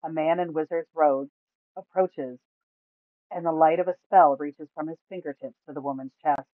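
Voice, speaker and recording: A woman's voice: sits low, steady tone, moderate pace, no room sound. A woman reading scripted fantasy story narration from a tabletop campaign. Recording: thin, phone-like, dry sound.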